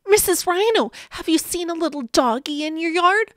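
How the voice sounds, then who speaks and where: A woman's voice: extremely high pitch, lively intonation, normal speed, dry recording, clean studio sound, pitched above the performer's own voice. A woman voicing an eager, pleading little boy critter in a children's storybook. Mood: eager, searching. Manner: earnest, pleading. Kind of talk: children's storybook read-aloud acting.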